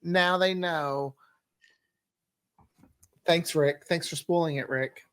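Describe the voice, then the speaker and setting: A man's voice: fairly high pitch, steady tone, slow, fairly bright tone. A man chatting casually and enthusiastically as a writer on a panel. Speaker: audio drama writer. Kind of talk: casual group chat.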